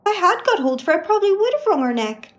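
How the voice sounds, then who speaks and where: A brash shopper, played by a woman who has been accused of murder and pushes back bluntly. Her voice is high and swings up and down a lot. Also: sing-song, very fast. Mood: defensive. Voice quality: blunt, defensive. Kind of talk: amateur audio drama acting.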